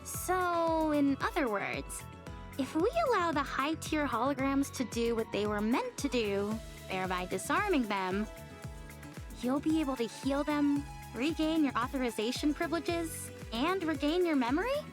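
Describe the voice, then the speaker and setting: Animated, high-voiced playful game heroine. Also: female voice, measured pace, over music. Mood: curious. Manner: perky, theatrical, inquisitive. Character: eager, playful, game-loving young heroine. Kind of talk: video game cutscene acting.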